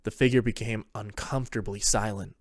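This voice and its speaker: A man's voice: lowish voice, steady tone, brisk, no room sound. Man narrating a story, dry sound. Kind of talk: scripted dramatic story narration.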